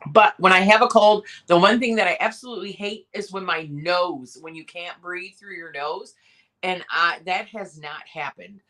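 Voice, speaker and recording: A hoarse woman with a cough, hosting a casual live sale and chatting in a rambling way with many pauses and restarts. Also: fairly low pitch, a bit bright. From Michigan, USA. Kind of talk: casual live-stream chat.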